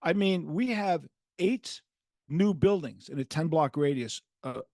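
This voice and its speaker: Gravelly older man, New York accent, podcast host, rambling storyteller, fairly high voice, dry close sound. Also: expressive, measured pace, a little mellow, no echo. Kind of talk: casual podcast chat.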